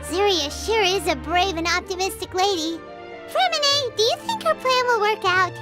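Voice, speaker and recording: A woman voicing a small childlike fairy companion in a video game, squeaky, earnest and excitable. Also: normal speed, loud music behind the voice. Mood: curious. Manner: refers to herself in third person, expressive.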